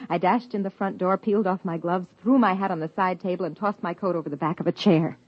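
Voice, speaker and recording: An actress playing an earnest, persuasive scientist wife who also narrates, in 1950s radio drama style. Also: female voice, on the low side, fast talker, no echo, natural voice. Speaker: narrator and lead character. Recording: dull 1950s radio broadcast.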